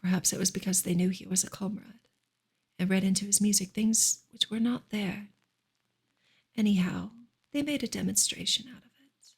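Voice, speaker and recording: A woman gives a soft ASMR reading of literary prose. Her voice is very breathy and husky, often slipping toward a whisper, with a creaky grain. She narrates gently and carefully, keeping her intonation fairly even. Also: medium pitch, normal speed. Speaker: reader, ASMR creator.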